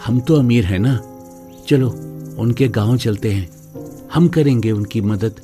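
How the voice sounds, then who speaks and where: A man's voice: mid-range voice, unhurried, warm tone, faint music behind, lightly acted. A man playing a kindly middle-aged father of a schoolgirl in an acted moral story. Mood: reassuring.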